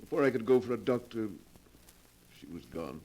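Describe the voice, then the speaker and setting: An actor playing a genteel, unstable recluse in a 1940s radio drama, smooth-spoken and persuasive. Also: male voice, fairly low pitch, expressive, normal speed, a little mellow, exaggerated voice, rough recording. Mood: insistent.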